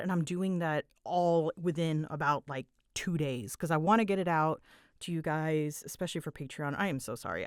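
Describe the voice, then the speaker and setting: A woman with a slightly low voice talks informally, as a creator answering questions from listeners. She rambles in a self-deprecating, conversational way. The recording is dry, with very little room sound. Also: clean tone, no echo. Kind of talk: casual rambling Q&A chat.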